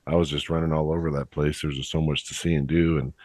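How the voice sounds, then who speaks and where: A young man hosting a podcast, chatting in a relaxed, upbeat, conversational way. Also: rumbling low, fast talker, no room sound, clean studio sound. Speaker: podcast host. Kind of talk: casual podcast chat.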